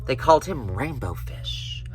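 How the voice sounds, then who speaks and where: A man telling a children's story in an expressive storybook reading style. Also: medium pitch, a little slow, soft background music.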